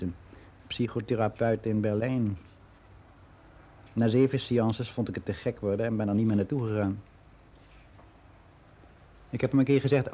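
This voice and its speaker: Male actor as older man giving frank, matter-of-fact radio play monologue; soft dark tone, slightly noisy recording. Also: medium pitch, lightly acted. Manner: matter-of-fact, rambling, candid.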